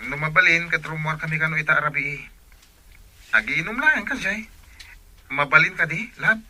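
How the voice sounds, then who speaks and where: A man's voice: a little high, normal speed, sharp tone. A man with an Ilocano Filipino accent playing a coaxing, evasive young boyfriend in a radio drama, casual in manner.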